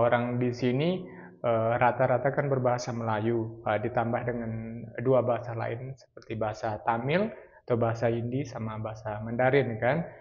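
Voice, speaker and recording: A man's voice: medium pitch, lo-fi sound. A man from North Sumatra with a Batak-tinged accent, chatting casually as a travel vlogger and mixing in English phrases. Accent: Batak-inflected Indonesian. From North Sumatra, Indonesia.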